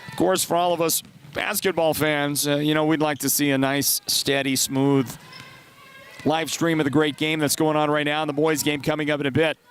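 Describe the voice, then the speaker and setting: A congested man with a partly lost voice, calling high school basketball play-by-play and chatting between plays. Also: little pitch movement, moderate pace, slight background noise. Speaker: play-by-play announcer.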